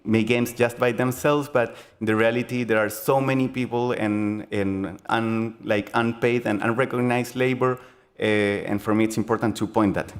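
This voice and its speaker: A young man with a Chilean accent, giving a conference talk on game design in a halting, earnest way, full of fillers and restarts. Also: on the low side, steady tone. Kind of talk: conference presentation.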